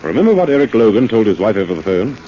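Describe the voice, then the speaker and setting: Old radio gentleman detective, composed, mellow, expressive, on a muffled recording. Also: fairly low pitch, rapid-fire, slight background noise. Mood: deliberate. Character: composed, thoughtful gentleman sleuth. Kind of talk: 1940s radio acting.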